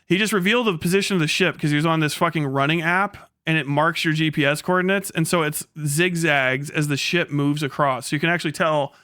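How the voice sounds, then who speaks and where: Bright, forceful man ranting on stream. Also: fairly high pitch, fairly quick, few pauses, no room sound, studio quality. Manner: blunt, heavily profane, sarcastic. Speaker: live streamer. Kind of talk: casual livestream rant with chat.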